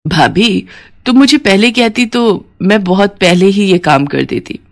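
A woman's voice: medium pitch, animated, unhurried, vocal fry. Woman acting meddling, gossipy older aunt. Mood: gloating. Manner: nosy, needling, insinuating.

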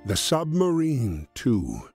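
A man performing as a solemn storyteller, narrating a wartime adventure game in a measured, grave, expressive way. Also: medium pitch, creaky, exaggerated voice. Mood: solemn storytelling. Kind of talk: scripted dramatic storytelling narration. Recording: music under the voice.

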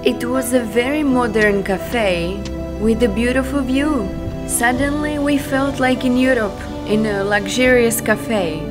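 A cheerful young woman narrates her island travel vlog in a fairly high voice. She sounds bright and friendly as she talks through the day, with loud music running underneath. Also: a little slow. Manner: cheerful, upbeat sign-off and narration. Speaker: travel vlogger host. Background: loud background music.

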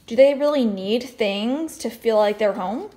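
A woman with a fairly high voice reads a storybook aloud as a teacher would. She is expressive and speaks to children, stepping out of the text to explain and ask questions. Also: a little slow. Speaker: teacher reading aloud to fifth graders.

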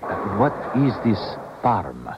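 On a muffled, noisy old radio recording, an older male defector with a low voice. He speaks in formal, halting phrases, uneasy and very courteous, in 1970s radio drama style. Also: big pitch swings. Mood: apprehensive.

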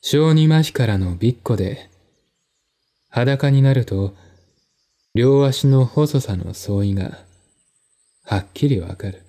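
A man reading literary narration in a measured, deliberate, formal manner, as in an audio drama. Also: medium pitch, lively intonation, mellow. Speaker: narrator, solo audiobook reader.

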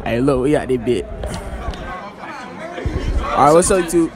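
A man's voice: medium pitch, animated, a little slow. A teenage boy hosting a casual interview at school, talking in loose slang into a phone he holds up. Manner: loud, slangy, laughing a lot. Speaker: host. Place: school hallways and gym, indoors. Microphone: phone held up to mouth. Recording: noisy with chatter of a crowd behind.

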